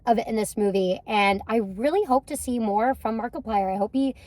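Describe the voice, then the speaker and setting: A woman's voice: a little high, a little fast, darkish tone, no echo. A young woman co-hosting a casual movie review from a parked car, rambling and enthusiastic with lots of fillers.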